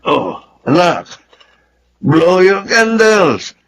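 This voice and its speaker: An actor playing an excitable, doting Filipino father in a radio drama, with an animated, easily flustered delivery. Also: sits high, drawn-out words, full character voice. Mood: proud, affectionate.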